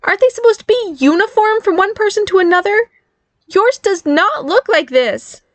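A woman voicing an indignant, sarcastic boy who has been turned into a girl fairy, with a squeaky girlish voice, in an audiobook. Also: expressive, moderate pace. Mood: sarcastic, exasperated. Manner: indignant, pleading protests. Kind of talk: audiobook character acting.

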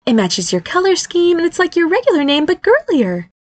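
A woman voicing an upbeat, earnest fairy mother in a storybook, coaxing her children and gently scolding them. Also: high voice, sing-song, normal speed, no echo, voice pushed up. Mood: excited. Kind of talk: storybook character voice.

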